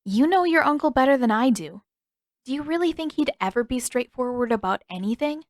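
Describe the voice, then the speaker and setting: A woman's voice: high-pitched, lively intonation, a little fast, no room sound, studio quality, voice pushed up. A woman voicing a perceptive teenage girl who talks in a candid, lilting, playful way. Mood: frank, gentle.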